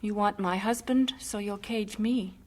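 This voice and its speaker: A woman's voice: mid-range voice, steady tone, a little slow, close to an everyday voice. A woman playing an anxious, devoted missionary wife and mother in an audio drama. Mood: indignant, pleading.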